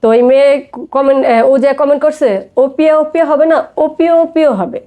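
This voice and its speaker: A woman's voice: high-pitched, fast, mellow. A woman with a Bangladeshi accent telling her personal story casually as a guest, often acting out quoted dialogue.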